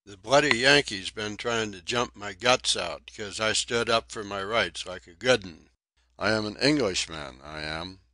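A man's voice: on the low side, unhurried, pushed and bright, dry recording. Man doing a ragged Cockney sailor, whining. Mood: indignant. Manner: whining, indignant, dropped aitches.

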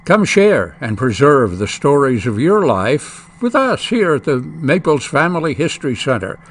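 A man reading a scripted promotional voice-over in a measured, inviting way. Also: fairly high pitch, very animated. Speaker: announcer.

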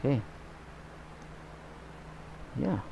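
A man giving a painting tutorial as he works, talking in a relaxed, unhurried way and often saying "okay" and "guys". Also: background noise. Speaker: painting instructor. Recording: slightly dull, lo-fi recording.

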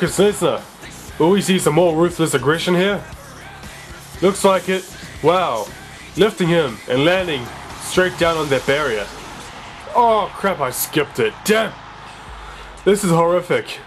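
Young man chatting over a wrestling game. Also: on the high side. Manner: chatty, excitable, reads game dialogue aloud. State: sore voice. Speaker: let's play gamer. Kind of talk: casual gameplay commentary, reading game text aloud.

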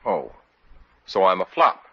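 Hapless stubborn boyfriend in 40s radio comedy, very lively, dull old recording. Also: male voice, deep voice, measured pace, gravelly, no room sound, exaggerated voice. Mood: defensive. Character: restless, stubborn young boyfriend, hapless store salesman. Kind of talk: 1940s radio comedy acting.

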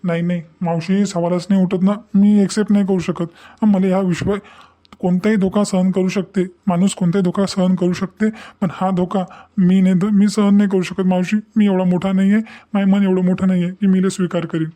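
A man's voice: high-pitched, level tone, a little fast, mellow, natural voice. A man voicing a hurt, unforgiving young husband in a cartoon drama, firm and protesting. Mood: refusing. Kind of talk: Marathi cartoon moral drama dialogue.

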